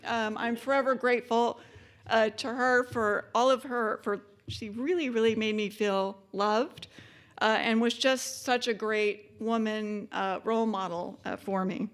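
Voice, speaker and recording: A woman's voice: fairly high pitch, steady tone, a little slow. A woman, giving a conference keynote in a chatty way with many fillers. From born in Japan, military family. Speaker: keynote speaker.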